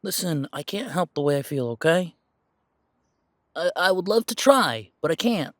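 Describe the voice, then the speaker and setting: A man acts out a pure-blood werewolf full of self-doubt in an intimate audio drama. His voice sits a little high for a man and is recorded very dry. He talks haltingly, tripping over words, defensive and unsure of himself. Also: sing-song, no room sound. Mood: regretful. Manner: hesitant, halting, stammering. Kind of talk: audio roleplay drama, one side of an intimate conversation.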